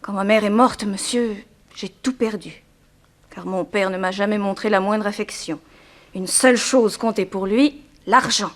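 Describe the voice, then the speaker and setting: A woman's voice: medium pitch, fairly even intonation, measured pace, close to an everyday voice. An actress playing a sorrowful young woman in a 1960s French radio drama, restrained and plaintive. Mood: bitter, sorrowful. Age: young adult. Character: sorrowful young daughter of a harsh plantation owner.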